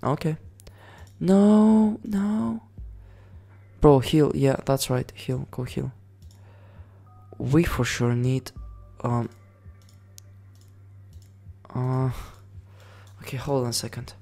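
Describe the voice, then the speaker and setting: A man giving casual commentary over a management game, excitable, swearing and yelling orders at his units. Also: mid-range voice, extremely slow, vocal fry, long pauses. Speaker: gaming channel host.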